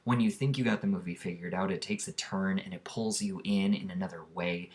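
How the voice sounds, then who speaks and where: A man's voice: low-pitched, fairly even intonation, fairly quick. A man vlogging casually, talking in an enthusiastic, rambling, earnest way as he recommends a movie.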